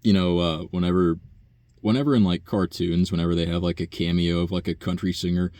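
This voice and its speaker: Low-voiced young man rambling casually. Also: steady tone, fairly quick, dark tone, no room sound. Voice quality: low buzzy voice. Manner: casual and profane, many fillers and sighs. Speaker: vlogger. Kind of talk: rambling personal monologue.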